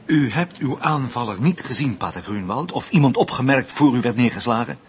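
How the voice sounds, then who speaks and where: Husky, probing police investigator. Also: male voice, mid-range voice, expressive, fairly quick. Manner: insistent, prompting, courteous. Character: probing, patient male police investigator questioning a victim. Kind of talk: radio drama acting.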